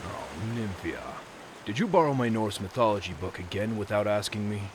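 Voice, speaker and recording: A man's voice: on the low side, lively intonation, natural voice. A man playing a proud Viking god prince, grumbling but genial, in a grandiose, mock-stern children's show style. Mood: annoyed. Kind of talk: theatrical children's character acting. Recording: clear background rushing ambient noise.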